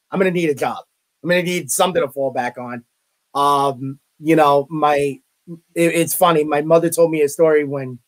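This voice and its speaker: A man's voice: a little high, poor audio. Brooklyn-accented man rambling on a video call. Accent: Brooklyn New York. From Brooklyn, New York. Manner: rambling, many restarts and "you know". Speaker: interview guest, college media relations director. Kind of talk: casual interview chat. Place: home room, indoors.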